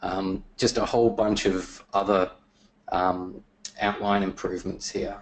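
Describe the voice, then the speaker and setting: Man, low-pitched, voice wearing out, casual webinar presenter, lots of ums and throat clearing. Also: level tone, a little slow, rough recording. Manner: casual, many fillers and restarts, throat clearing. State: losing his voice. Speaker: software product specialist presenting a webinar.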